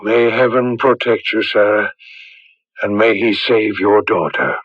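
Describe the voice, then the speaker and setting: An actor playing a grave, hesitant village priest in a radio drama, solemn and suspicious. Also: male voice, on the low side, fairly even intonation, no echo. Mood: solemn, foreboding. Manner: halting, solemn, clears throat. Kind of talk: 1980s radio drama acting. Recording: dull lo-fi radio broadcast recording.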